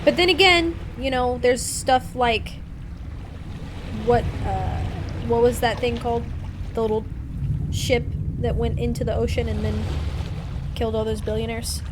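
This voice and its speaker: A woman's voice: on the high side, unhurried, noisy background. A woman hosting a livestream, chatting casually and giving her opinions in an informal, conversational way. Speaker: livestream host. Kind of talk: casual chat over gameplay.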